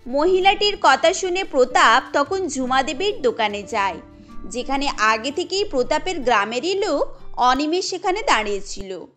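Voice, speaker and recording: Expressive woman narrating a cartoon story. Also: sits high, normal speed, soft background music.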